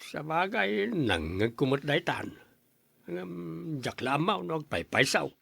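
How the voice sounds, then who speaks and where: A concerned father in a radio drama, questioning and coaxing. His pitch swings widely and the sound is close and dry. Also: big pitch swings, unhurried, vocal fry, sharp tone, breathy edge, dry recording, exaggerated voice.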